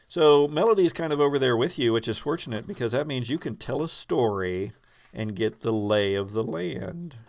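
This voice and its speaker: A man running a tabletop roleplaying game, improvising narration and thinking aloud with halting pauses. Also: medium pitch, animated, creaky, a little mellow. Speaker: game master.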